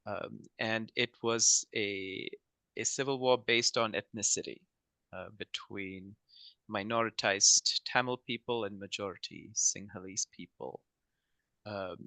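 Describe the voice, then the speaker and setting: Forties male guest from Sri Lanka; low, bright, dry voice; reflective and hesitant. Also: no echo, low-quality recording. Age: 40s. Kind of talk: conversational interview.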